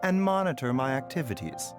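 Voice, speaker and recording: A man acts a polite, quietly calculating male doctor for a video game. His delivery is formal and composed, with expressive pitch movement. Light music plays underneath. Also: a little fast, close to an everyday voice. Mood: calm, smooth. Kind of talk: animated video game acting.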